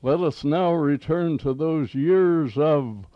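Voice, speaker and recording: A man's voice: fairly high pitch, slow, dry recording, highs cut off. An American man in his eighties hosting old-time radio, with a folksy, theatrical announcer delivery and a nostalgic scripted style. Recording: home cassette tape with music.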